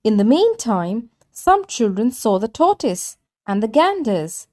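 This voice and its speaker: A woman narrating a children's story as a read-aloud, with deliberate, simply phrased delivery. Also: on the high side, big pitch swings, warm tone.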